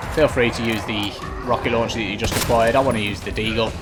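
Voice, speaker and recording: A man's voice: fairly low pitch, fairly even intonation, fast, music in the background. A man giving informal, chatty video game walkthrough commentary, talking straight to his viewers. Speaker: gaming YouTuber.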